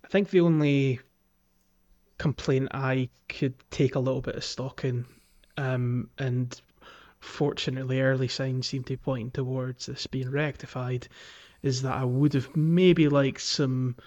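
Through slightly muffled, lo-fi audio comes a man with a mid-range voice. He talks casually as a podcast co-host, feeling his way through thoughts with halting, hesitant phrasing. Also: mid-range voice, steady tone, a little mellow. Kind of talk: casual gaming podcast discussion.

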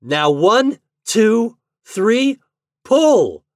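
A man voicing excitable, teasing young boys in a storybook, exclaiming boisterously. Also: fairly high pitch, moderate pace, no room sound, clean studio sound, pitched above the performer's own voice. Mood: excited, eager.

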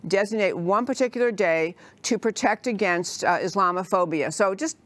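A woman's voice: medium pitch, moderate pace, a bit bright, no echo. A woman with a mid-range voice hosts a talk show. She talks in a chatty, enthusiastic way, full of fillers, as she introduces a guest and puts questions to him.

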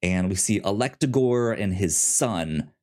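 A man's voice: fairly low pitch, animated, unhurried, darkish tone. A man co-hosting a casual podcast, chatty, often stumbling and restarting his words. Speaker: podcast co-host.

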